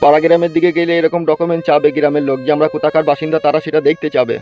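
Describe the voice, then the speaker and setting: A man's voice: a little high, flat delivery, rapid-fire, darkish tone, faint music behind, close to an everyday voice. A man voicing a cautious, worried homeless uncle in a comedy cartoon. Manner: hesitant, cautionary. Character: cautious, worrying uncle, homeless desert wanderer.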